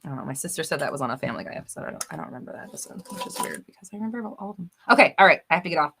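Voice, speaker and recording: Host type: upbeat woman, animated pitch, bright and chatty, reads comments live. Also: very fast, gravelly, a little hiss or hum. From United States. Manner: bubbly, laughs often, reads out viewer comments. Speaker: livestream host. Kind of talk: casual live chat reading comments.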